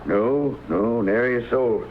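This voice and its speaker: A man's voice: medium pitch, takes it slowly, lightly acted. A man playing a middle-aged homesteader father with a rural American accent, folksy and drawling, in a radio western. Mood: affable. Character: folksy, hospitable homesteader father hiding guilt. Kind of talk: 1950s radio western acting. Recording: muffled, noisy old radio recording.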